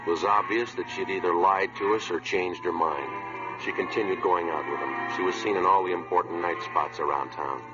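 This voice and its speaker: An actor playing a terse, businesslike detective sergeant in a 1950s radio police drama, speaking in a deadpan, clipped way. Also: male voice, low voice, fairly quick, music in the background, natural voice. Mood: matter-of-fact. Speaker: lead actor and narrator. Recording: muffled, humming vintage radio recording.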